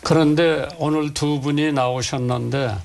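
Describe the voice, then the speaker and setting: Older man interviewing, creaky higher voice. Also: fairly even intonation, unhurried. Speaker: host. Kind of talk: conversational interview.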